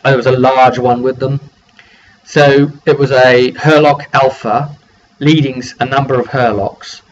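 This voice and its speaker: A man with a medium-pitched voice recounts a tabletop session informally, as the one who runs the game. He rambles, restarting and repeating phrases as he goes. The sound is slightly muffled and lo-fi, with a little noise in the background. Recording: dull lo-fi mic with faint background noise.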